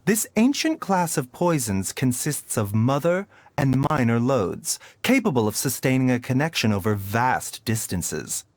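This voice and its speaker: A man playing a composed, learned doctor in a video game, speaking formally in measured explanations. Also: mid-range voice, big pitch swings. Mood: calm explaining.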